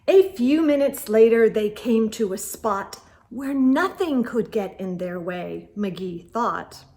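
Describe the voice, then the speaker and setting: A woman with a high, very expressive voice reads a children's story aloud. Her pitch swings widely and she takes her time, drawing out the suspense in a theatrical storytime manner. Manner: theatrical, drawn-out suspense with gasps and asides. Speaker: storytime host reading picture books aloud.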